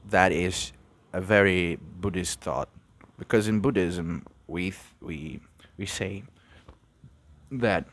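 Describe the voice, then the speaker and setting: A young man from Brazil hosting an unscripted podcast, musing and halting, with many restarts. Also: low voice, a bit bright. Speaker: podcast host. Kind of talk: unscripted reflective monologue.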